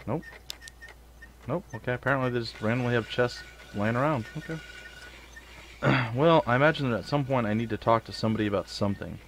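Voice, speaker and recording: A man's voice: medium pitch, a little fast, long pauses, slight background noise. A man with a stuffy, sniffly nose hosting a casual game let's play, rambling with joking asides. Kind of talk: casual gameplay commentary.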